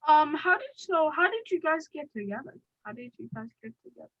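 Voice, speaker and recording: A woman's voice: sits high, expressive, brisk, no room sound, highs cut off. A woman hosting an interview, chatty and casual, often repeating her own words. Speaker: interview host. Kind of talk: casual interview over a call.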